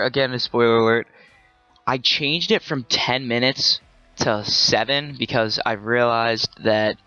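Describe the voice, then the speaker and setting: A man with a bright, forceful voice chats loosely over a football video game, wandering off track and correcting himself as he goes. The sound is dry and a little dull, as from a cheap home microphone. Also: mid-range voice, clean tone, no room sound. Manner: rambling, with frequent self-corrections. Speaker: gaming channel host. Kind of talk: casual gameplay commentary.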